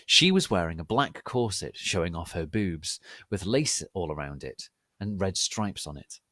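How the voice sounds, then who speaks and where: Male narrator, lowish pitch, animated, mock-serious, theatrical story reading, dry recording. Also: normal speed, no room sound. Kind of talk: dramatic reading of fan fiction.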